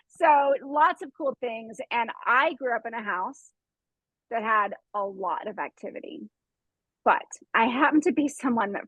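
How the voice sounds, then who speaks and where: Female podcast host, chatty and expressive, slightly high husky voice, dry recording. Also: no echo, low-quality recording. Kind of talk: casual chat interview.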